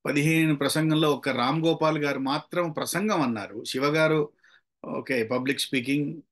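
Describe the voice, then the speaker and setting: A man's voice: a little high, a bit bright. A middle-aged or older man with a Telugu Indian accent, teaching public speaking in an instructive way, with rhetorical questions and emphatic repetition. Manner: switches between Telugu and slow deliberate English. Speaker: long-time radio presenter. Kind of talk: motivational online lecture to a group.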